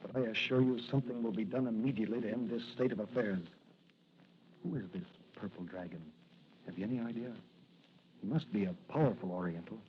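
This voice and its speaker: A man's voice: on the low side, a little fast, natural voice. A man playing an earnest, resolute young American policeman in a 1940s radio drama. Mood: concerned. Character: earnest, upright young rookie police officer hero. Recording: tinny, noisy old radio recording.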